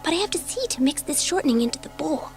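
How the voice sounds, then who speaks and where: An impulsive schoolgirl in a children's audio drama, with a very high, girlish voice. Her delivery is breathless and eager, swinging widely in pitch. Soft music plays behind her. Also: animated, fast talker. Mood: hushed, secretive. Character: bored, impulsive, excitable schoolgirl dabbling in magic.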